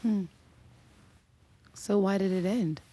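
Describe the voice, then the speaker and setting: A woman playing a candid, hesitant young woman talking softly with a new lover in an intimate, naturalistic audio drama. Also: mid-range voice, fairly even intonation, slow, no echo. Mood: gently curious.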